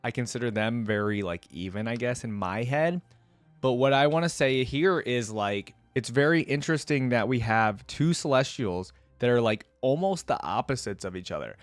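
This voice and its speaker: Guy doing a chill chatty reaction vid about a game, thinks out loud, normal pitch, dry close sound. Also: lively intonation, normal speed, no room sound, soft background music. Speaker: reaction video host. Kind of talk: casual reaction commentary on a video game.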